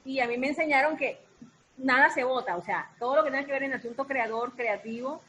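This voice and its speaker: Lady, high voice, chatting thoughtfully, repeats words for emphasis, bit of noise on the call. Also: a little fast, a bit bright, poor audio. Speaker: voice artist, founder of an artistic collective. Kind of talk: casual reflective talk in an online discussion. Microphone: video call.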